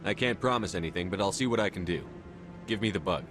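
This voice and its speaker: A man playing a composed, polite but firm military commander in a video game, speaking in a measured, matter-of-fact way. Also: low-pitched, over music, natural voice.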